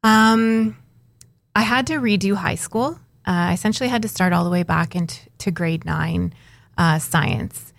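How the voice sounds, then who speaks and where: Woman from Northern Alberta, thirties, interview guest, hesitant with fillers, lowish voice, dry sound. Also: steady tone, normal speed, gravelly, dry recording. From Northern Alberta, Canada. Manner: hesitant, many ums and uhs. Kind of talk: casual interview answers.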